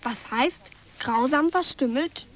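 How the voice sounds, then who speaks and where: Slow, very high-voiced small child. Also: female voice. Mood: curious, uneasy. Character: small child asking anxious bedtime questions. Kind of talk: radio drama acting.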